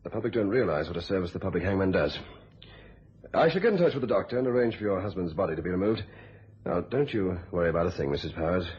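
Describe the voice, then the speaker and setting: An actor playing a courteous, businesslike police inspector in a radio thriller, with a British accent and a polite, formal manner. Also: male voice, low-pitched, expressive, quick pace, darkish tone, husky. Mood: formal sympathy. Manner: polite, formal, matter-of-fact. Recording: dull lo-fi radio sound.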